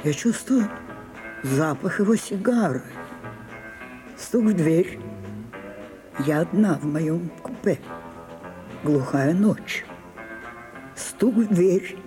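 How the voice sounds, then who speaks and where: An actress playing an imperious, willful older French stage star in a grand, declamatory radio drama style. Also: female voice, fairly low pitch, expressive, takes it slowly, pauses often. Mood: reminiscing. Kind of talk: theatrical Soviet radio drama acting. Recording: music behind, mono radio broadcast.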